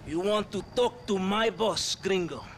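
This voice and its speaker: A sly, showy Mexican army captain in a game cutscene, speaking with a Mexican Spanish accent in a raised, fairly high voice. He slides from mocking to hushed and sorrowful. Outdoor noise sits behind him. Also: measured pace. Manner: mood swings from mocking laughter to hushed, mournful confiding. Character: sly, theatrical Mexican army captain, middle-aged man. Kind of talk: video game cutscene acting. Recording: noisy outdoor game soundscape.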